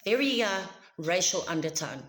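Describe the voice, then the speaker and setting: A woman with a South African accent, Afrikaans by birth, tells her story to camera in a loud, emphatic, chatty voice. Her voice sits fairly low, and the room gives it a noticeable echo. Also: big pitch swings, normal speed. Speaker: vlogger. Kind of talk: personal story told to camera.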